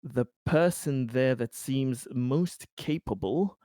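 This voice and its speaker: A man playing a tabletop roleplaying game, improvising an advisory report in a hesitant, halting manner. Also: mid-range voice, dark tone, dry recording, clean studio sound.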